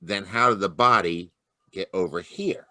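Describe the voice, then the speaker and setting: Man playing a low-voiced, plain radio police lieutenant. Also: unhurried, pushed and bright, no echo, full character voice. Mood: puzzled, businesslike. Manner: hesitant, plain, questioning. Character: plain-spoken, hesitant male police lieutenant, detective's foil. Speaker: actor. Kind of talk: old-time radio detective acting. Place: live online video call.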